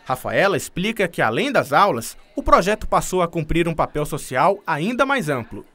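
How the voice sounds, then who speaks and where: Brief: male TV reporter, high and animated voice, scripted narration. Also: moderate pace. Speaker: TV news reporter.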